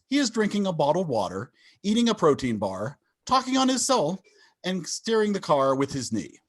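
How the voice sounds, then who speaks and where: A man's voice: on the high side, husky. A man narrating sitcom stage directions as scripted reading on a video call.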